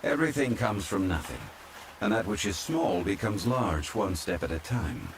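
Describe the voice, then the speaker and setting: A man playing a calm, courtly ancient former god from a fantasy game, speaking formally and with measured politeness in an ASMR roleplay. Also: on the low side, a little hiss or hum. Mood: calm, courteous. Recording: steady rain sound over the voice. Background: rain falling.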